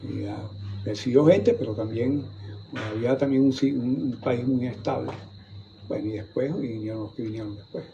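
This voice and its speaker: A man's voice: mid-range voice, normal speed, vocal fry, mellow, highs cut off, slight background noise. Older Venezuelan man, historian guest, meandering, restart-prone delivery; faint ambience behind. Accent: Venezuelan Spanish. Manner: rambling, repeats and restarts words while recalling. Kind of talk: conversational storytelling in a museum talk. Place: museum gallery, indoors.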